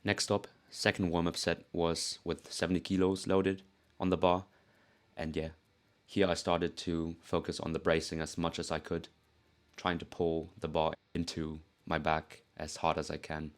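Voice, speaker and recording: A young man from South Korea, speaking in a put-on British-leaning accent. His voice sits low and gravelly with a steady, flat delivery. He narrates a lifting vlog candidly, joking at his own expense and cursing now and then. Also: normal speed. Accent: put-on British-leaning English accent. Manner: candid and self-mocking, swears casually. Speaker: powerlifting vlogger. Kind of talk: casual voice-over narration.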